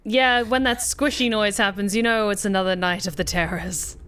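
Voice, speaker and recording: A woman's voice: mid-range voice, fairly even intonation, moderate pace, a bit bright, clean tone. A woman streaming a visual novel playthrough, mixing commentary with reading aloud in a wry, theatrical way.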